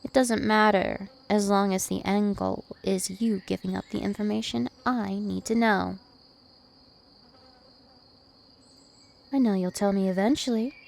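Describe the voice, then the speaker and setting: A woman's voice: medium pitch, steady tone, moderate pace, vocal fry, few pauses, a little mellow, lightly acted. A woman voicing a dominant, taunting, ancient vampire princess in an ASMR roleplay, with haughty, teasing menace. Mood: sly menace. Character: two-thousand-year-old vampire princess, female, dominant, taunting, imperious.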